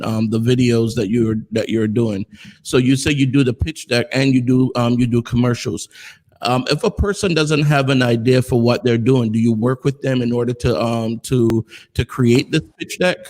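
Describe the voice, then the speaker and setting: A man's voice: medium pitch, darkish tone, rough recording. A man hosting an interview, asking rambling casual questions full of fillers. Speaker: interviewer, host.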